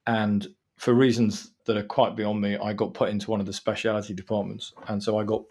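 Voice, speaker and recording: A man's voice: fairly low pitch, little pitch movement, a little fast, darkish tone, breathy edge. A man from England in his forties, a guest on an interview podcast, chatty and self-deprecating as he gives advice and tells stories.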